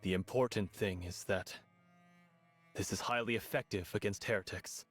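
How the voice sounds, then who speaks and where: A man's voice: lowish voice, steady tone, moderate pace, close to an everyday voice. An actor voicing a cold, authoritative male overseer of sinister experiments in a video game cutscene, speaking in a clipped way. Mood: coldly commanding. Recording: game music behind the voice.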